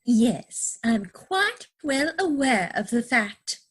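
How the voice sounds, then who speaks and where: A woman's voice: a little high, sing-song, slow pace, husky, heavily acted. A woman playing a prim, self-assured young society lady in a stage comedy reading, affected, coy and precise. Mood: romantic, assured.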